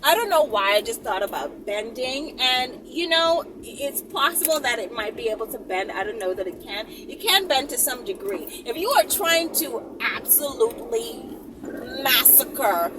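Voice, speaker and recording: A woman with a high voice talks casually to her viewers as a channel host. Her pitch swings widely and her delivery is emphatic, with frequent check-ins to the listener. She sounds distant from the mic, with loud ambient noise around her. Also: expressive, unhurried. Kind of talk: casual chatty monologue to viewers.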